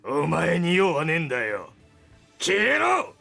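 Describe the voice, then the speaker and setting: Menacing, gravelly scythe-wielding villain. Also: male voice, a little high, huge pitch swings, normal speed, pushed and bright, soft background music, heavily acted. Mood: aggressive. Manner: taunting, contemptuous. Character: menacing, mocking male scythe-wielding enemy fighter. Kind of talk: Japanese visual novel voice acting.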